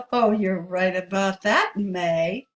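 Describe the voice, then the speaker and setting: A woman's voice: mid-range voice, takes it slowly, faint music behind, natural voice. An actress playing a sympathetic, curious woman in an audio play.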